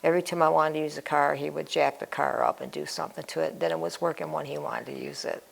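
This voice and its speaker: An older woman with an American accent tells her own story in an interview. Her voice sits low and is heavily creaky and gravelly. She speaks plainly, in short clipped fragments. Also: steady tone, fast talker. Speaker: interview guest. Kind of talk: personal life-story interview.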